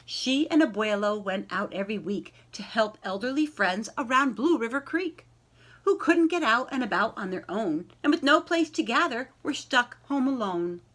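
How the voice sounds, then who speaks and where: A woman reading a children's picture book aloud in an expressive storytelling style, addressing young listeners directly. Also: fairly high pitch. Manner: animated, pausing to ask young listeners questions.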